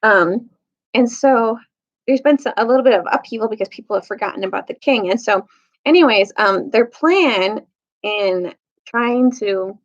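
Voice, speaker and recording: A woman leading a casual storytime as a teacher, speaking haltingly, searching for her words and correcting herself. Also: fairly high pitch. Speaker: storytime teacher reading aloud. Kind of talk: casual recap and storybook read-aloud. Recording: dull lo-fi recording.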